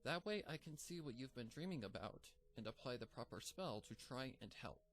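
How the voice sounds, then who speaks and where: A man reading a fanfic aloud, voicing an earnest, bookish young female pony in a gentle, lightly raised voice. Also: medium pitch, animated, normal speed, soft background music. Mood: reassuring.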